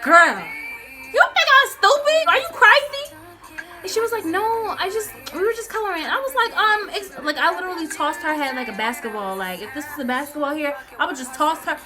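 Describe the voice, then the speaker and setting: A young woman telling a casual, comedic story in a sassy, dramatic, slangy way, acting out the dialogue. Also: high voice. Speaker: storytelling vlogger. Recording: music playing behind the voice. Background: clear background music.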